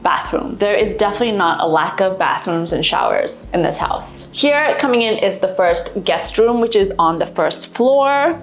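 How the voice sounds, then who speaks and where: A woman vlog host from Singapore giving a casual, chatty house tour indoors, explaining things conversationally to camera. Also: medium pitch. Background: background music.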